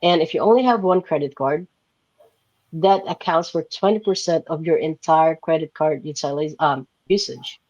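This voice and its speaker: A man's voice: a little high, normal speed, a little mellow, no room sound, lo-fi sound. A young man from the Philippines answering interview questions casually, explaining with lots of fillers and "right?" checks. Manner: loose, filler-heavy explaining with frequent "like" and "right?". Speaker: guest entrepreneur.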